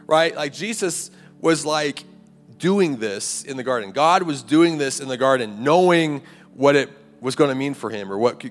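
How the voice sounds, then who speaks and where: A man preaching a conversational sermon to a campus ministry, his voice raspy and a little hoarse. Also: fairly high pitch, fairly bright tone. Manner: conversational preaching with rhetorical "right?" and "like". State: hoarse, clearing throat. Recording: live hall recording, long reverb, faint music.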